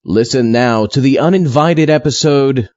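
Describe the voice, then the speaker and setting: A man's voice: mid-range voice, normal speed, a little mellow, no room sound, natural voice. A man playing the bossy, easily exasperated host and leader of amateur ghost hunters in a comedy skit. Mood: presenting. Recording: dull, muffled recording.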